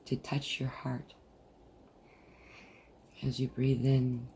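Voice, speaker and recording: Softly dark, gravelly guided meditation voice. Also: mid-range voice, monotone. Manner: soothing, deliberate, with long pauses. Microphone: video call. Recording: noisy, muffled group video-call audio.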